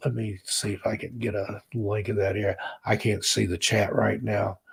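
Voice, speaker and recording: A man hosting a livestream in a relaxed, rambling chat with his viewers, reading their comments aloud, his voice hoarse as he loses it. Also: on the low side, normal speed. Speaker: livestream host.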